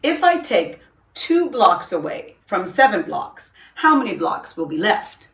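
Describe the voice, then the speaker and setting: A woman voicing a firm but kind male math teacher in a storybook, with a measured, questioning manner. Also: medium pitch, very animated, gravelly, fairly bright tone, exaggerated voice. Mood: instructive. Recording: roomy echo, distant microphone.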